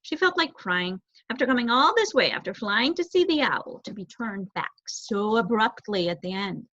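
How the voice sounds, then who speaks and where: A young woman giving an expressive reading of a children's novel as narrator. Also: a little high, normal speed. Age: young adult. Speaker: story reader, student. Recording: roomy echo, dull muffled sound.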